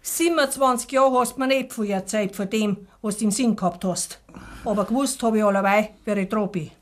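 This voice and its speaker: Actress as an anxious Bavarian farmer's wife, middle-aged. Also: female voice, mid-range voice, brisk, close to an everyday voice. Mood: resolute, knowing. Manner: plain-spoken, fretting dialect speech. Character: farmer's wife of many years, anxious, practical, scolding. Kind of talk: 1950s dialect radio drama acting.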